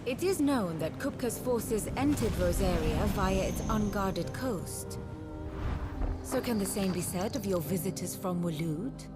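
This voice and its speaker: An actress playing a shrewd, composed war strategist in a fantasy game, with a scholarly, eloquent, measured manner. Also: female voice, medium pitch, lightly acted. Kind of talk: theatrical fantasy game dialogue.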